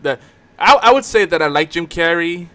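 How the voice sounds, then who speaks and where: A man's voice: fairly high pitch, expressive, moderate pace, pushed and bright. A man co-hosting a casual talk show, bantering about films in an easygoing, agreeable, conversational way. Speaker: co-host of an audio drama talk show.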